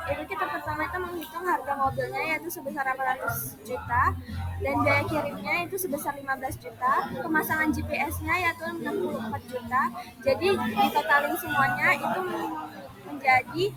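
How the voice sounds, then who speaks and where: A young woman, a student, presenting a worked accounting problem in class, talking hesitantly with frequent fillers. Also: sits high. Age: young adult.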